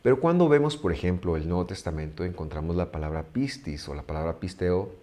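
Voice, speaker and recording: A man who speaks Spanish as his first language, teaching a Bible study in a measured way with frequent pauses and restarts. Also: low voice, animated, warm tone.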